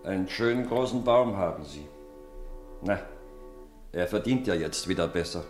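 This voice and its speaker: A man's voice: low voice, lively intonation, moderate pace, reverberant, soft background music. An actor playing a downhearted but kindly father who comforts his wife, in a German radio play. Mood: reassuring.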